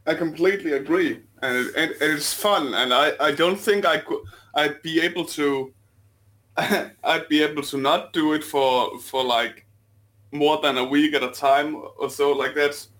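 A man's voice: on the high side, measured pace, fairly bright tone. A man with a Danish accent co-hosting a podcast, playful and often repeating words as he talks. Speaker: podcast co-host. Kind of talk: casual podcast chat.

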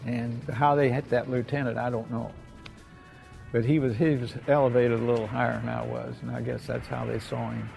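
A man's voice: mid-range voice, little pitch movement, normal speed, gravelly, a little mellow, faint music behind. An elderly American man, a war veteran, reminiscing in a plainspoken, folksy way and often repeating himself. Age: 80s or older. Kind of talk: oral history reminiscence.

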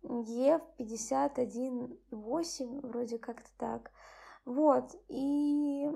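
A tired young woman hosting her own channel, speaking softly and subdued as she reads a bedtime story and chats about her day. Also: slow pace, gravelly, breathy edge. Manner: soft, subdued, low-key. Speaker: channel host. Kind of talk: bedtime story reading and personal chat.

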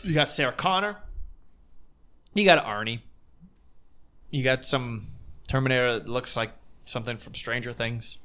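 A man in his late twenties hosting a casual podcast chat, rambling and excitable, swearing now and then. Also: mid-range voice, moderate pace, long pauses. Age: late 20s. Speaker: podcast host.

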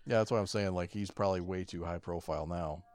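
Low-voiced, profane man on a dry podcast. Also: fast talker, darkish tone, no echo. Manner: profane, self-deprecating. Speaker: podcast co-host. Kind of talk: casual chat.

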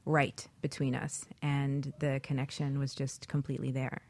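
A woman's voice: a bass voice, level tone, normal speed, a little mellow. A woman in casual, reflective conversation as part of a panel talk.

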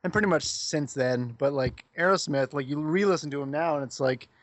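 A young man co-hosting a casual comedy podcast with a smooth voice, laid-back, laughing often and swearing a lot. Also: a little high, fairly quick, dry recording. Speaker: podcast co-host.

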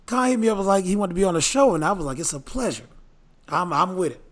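A man's voice: high-pitched, fast. A man hosting a podcast, chatting playfully and teasing his guests. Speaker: podcast host. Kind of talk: casual podcast chat.

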